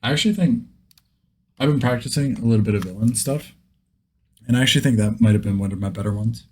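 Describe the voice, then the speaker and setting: A man's voice: mid-range voice, quick pace, a little mellow, slight background noise. A man hosting a vlog in a casual, conversational style. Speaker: video host, beginner voice actor.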